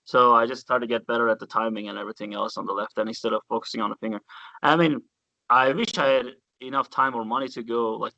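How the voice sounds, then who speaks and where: A man with a Turkish accent talks bass playing with excited, story-driven energy. His voice is bright and forceful, recorded dry and close with a slightly dull, muffled sound. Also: vocal fry, no room sound. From Turkey. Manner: enthusiastic storyteller, loose grammar, swears casually. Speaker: guest bassist. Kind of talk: casual interview chat.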